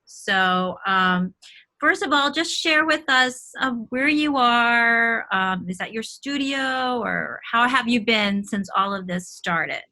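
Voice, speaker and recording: Female host, bright and crisp, polished scripted welcome, speaking over a video call. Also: a little high, lively intonation, slow. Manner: polished, welcoming, reads a prepared intro. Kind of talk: scripted introduction and interview.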